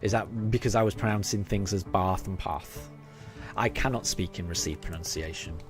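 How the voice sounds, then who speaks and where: A fairly low-voiced man in his forties with a faded Yorkshire accent, streaming a game and chatting casually with his audience. He talks hesitantly, with lots of ums and restarts, and clear game music plays behind him. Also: normal speed. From Yorkshire, England. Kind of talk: casual live-stream chat.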